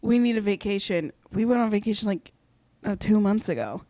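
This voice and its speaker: A young adult woman hosting a podcast in casual chat with friends, quick and chatty with lots of "like" and frequent laughter. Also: mid-range voice, lively intonation, mellow, no room sound. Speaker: podcast host.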